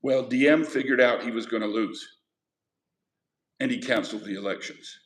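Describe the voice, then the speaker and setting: A man's voice: mid-range voice, moderate pace. A man teaching a history class, lecturing in a conversational way and putting questions to his students. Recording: echoey classroom.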